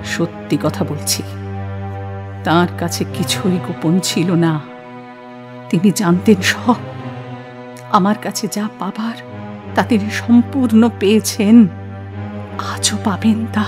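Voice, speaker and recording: A woman's voice: mid-range voice, takes it slowly, pauses often, a little mellow, faint music behind, natural voice. A woman playing a stern, shrewd middle-aged mother in an audio play, cutting and authoritative. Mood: bitter, defiant. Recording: long hall-like reverb.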